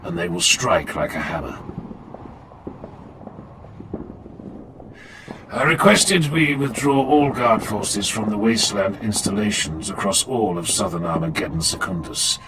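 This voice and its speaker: Man acting a low, stern warrior chaplain. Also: expressive. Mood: grave, brooding. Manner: grave, measured, commanding. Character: stern, relentless armoured warrior chaplain, commanding and defiant. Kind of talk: dramatic audiobook acting. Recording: clear noise and ambience behind.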